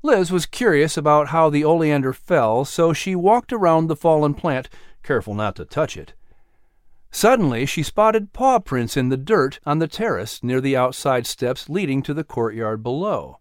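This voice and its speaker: A man narrating a scripted story as an audiobook, in a measured, expressive storytelling manner. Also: on the high side, no room sound, clean studio sound.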